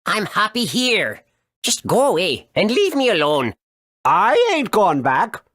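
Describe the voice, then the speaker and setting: A woman's voice: mid-range voice, huge pitch swings, slow, fairly bright tone, full character voice. A woman voicing a stubborn, sulky little forest creature in a video game. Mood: defiant.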